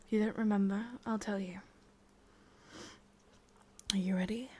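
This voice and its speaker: Slow, breathy English witch, acted by a woman. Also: mid-range voice, fairly even intonation, a little mellow. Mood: coaxing, intimate. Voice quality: breathy, whispery. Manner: slow, intimate, coaxing. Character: sadistic, possessive young witch, softly coaxing and lovesick. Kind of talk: whispered ASMR roleplay. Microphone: close microphone.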